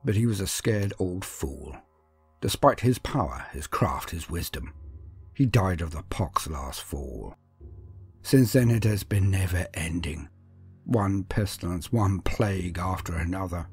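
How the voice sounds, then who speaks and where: A man playing a brooding, defiant village sorcerer, telling a dark fantasy tale in a hushed, deliberate way. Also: deep voice, animated, breathy edge, faint music behind, heavily acted. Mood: brooding resolve. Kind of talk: dark fantasy first-person storytelling.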